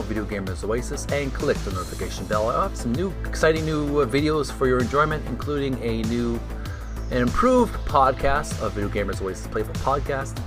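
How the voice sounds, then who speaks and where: A man hosting a video gaming channel, casually presenting and reading aloud in a halting, hesitant way. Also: mid-range voice. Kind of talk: casual vlog intro and reading aloud from a book.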